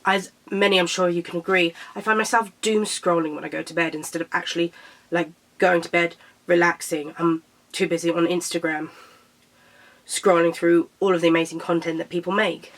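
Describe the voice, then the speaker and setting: A young woman from Britain, a little croaky after being sick, chatting casually to camera about books and tripping over her words. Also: lowish voice, flat delivery, brisk, a bit bright, low-quality recording. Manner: chatty, self-correcting, stumbles over titles. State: slightly croaky from illness. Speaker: book vlogger.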